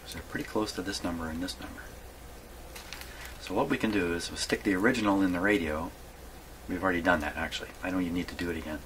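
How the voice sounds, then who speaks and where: A man giving a casual hands-on workshop demonstration, thinking aloud in a halting mutter and repeating his words. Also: deep voice. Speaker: hobbyist radio repairer presenting his workshop video. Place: radio repair workshop. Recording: clearly noisy workshop recording.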